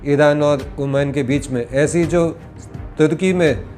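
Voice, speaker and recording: A man comments on the news in a relaxed, mellow voice pitched a little above average. He speaks deliberately and conversationally, phrase by phrase, as a host talking to viewers. Faint music plays behind him.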